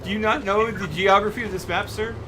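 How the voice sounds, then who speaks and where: A man with a notably high voice streams a game and talks casually to his friends. His manner is exasperated and full of exclamations. Clear background noise, with vehicle rumble, sits behind him. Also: steady tone, fairly quick. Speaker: streamer host. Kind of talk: casual game livestream chat.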